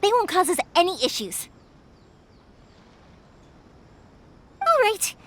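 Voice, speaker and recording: A woman's voice: extremely high pitch, fairly quick, heavily acted. A woman voicing a bubbly, chatty, helpful young woman in a video game. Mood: upbeat, reassuring. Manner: animated, expressive, chatty.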